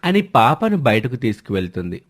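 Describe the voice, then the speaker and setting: A man's voice: medium pitch, very animated, normal speed. A man narrating a scripted family story as the storyteller.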